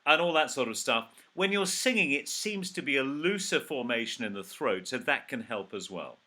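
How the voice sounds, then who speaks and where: A man's voice: medium pitch, lively intonation, normal speed, bright, forceful tone. A man hosting an interview over a video call. He sounds enthusiastic and jokes with his guest. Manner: enthusiastic, joking, upbeat. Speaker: host. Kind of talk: remote interview chat.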